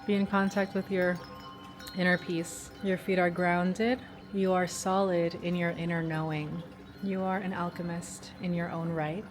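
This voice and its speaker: A woman's voice: lowish voice, level tone, a little slow, soft background music. A woman vlogger talking casually in short, simple phrases.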